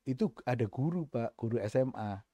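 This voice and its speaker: A middle-aged man from East Java with a faint East Javanese accent, talking thoughtfully in a podcast interview, pausing often with fillers and restarts. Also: medium pitch, animated, mellow, no room sound, studio quality. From East Java, Indonesia. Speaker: guest, senior finance official.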